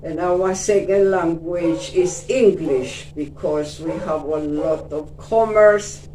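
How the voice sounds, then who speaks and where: An older woman in her seventies from Cyprus, Greek is her first language and she speaks English with a Greek Cypriot accent, telling her life story gently and unhurriedly, often mentioning her faith. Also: sits low, takes it slowly, slight background noise. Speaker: interview guest. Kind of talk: casual life-story interview. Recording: echoey room, distant mic.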